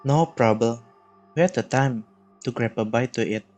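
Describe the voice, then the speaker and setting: Man as agreeable husband, mid-pitched voice. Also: normal speed, a little mellow, soft background music, natural voice. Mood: polite. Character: Jack, agreeable husband and father, confident caretaker. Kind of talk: readers theater acting.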